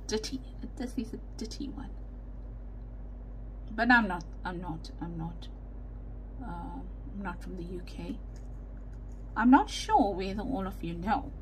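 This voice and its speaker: A woman's voice: mid-range voice, slight background noise, low-quality recording. A woman from South Africa with a South African Indian English accent, chatting casually as she works through a crafting video at her desk, rambling and correcting herself with muttered asides. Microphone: overhead camera setup, hands only in frame.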